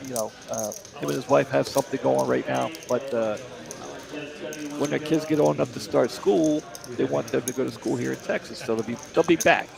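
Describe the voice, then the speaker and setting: A man's voice: medium pitch, brisk, vocal fry, crowd noise. A middle-aged man from Delaware doing casual poker commentary, chatty and repeating himself as he guesses at hands. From Delaware, USA.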